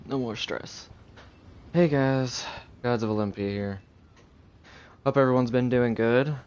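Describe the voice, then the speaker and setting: A young adult man chatting casually on a live game stream in a rambling, halting, low-key way. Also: a little mellow, clear, resonant tone. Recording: game music behind voice. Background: video game music.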